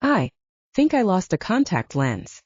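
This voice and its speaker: A woman narrator acts out a stubborn, guarded young heroine in a paranormal audiobook. She is wry and firm, with animated intonation and a slightly muffled recording. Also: mid-range voice, a little slow, slightly rough. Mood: exasperated. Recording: dull lo-fi, roomy sound.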